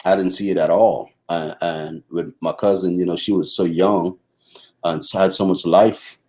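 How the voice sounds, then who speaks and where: Deep-voiced Bahamian man, halting speech. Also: steady tone, darkish tone. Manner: halting, many restarts and fillers. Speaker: husband co-host. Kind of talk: casual conversation.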